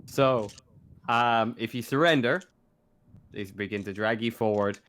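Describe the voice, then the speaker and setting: Man running a tabletop game, halting phrasing. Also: lowish voice, fairly bright tone. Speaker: game master. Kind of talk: casual tabletop game narration.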